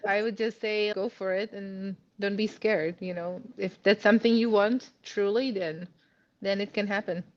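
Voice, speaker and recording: A woman's voice: mid-range voice, steady tone, measured pace, lo-fi sound. A woman in her thirties with a Czech accent, chatting as an interview guest, laughing often and full of "like" and "you know". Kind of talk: casual interview.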